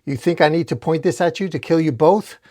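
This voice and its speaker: A man's voice: fairly high pitch, a little fast, darkish tone, voice pushed up, close to an everyday voice. A man voicing a menacing, taunting villain from a comic book, making matter-of-fact threats as a cold killer. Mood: cold menace.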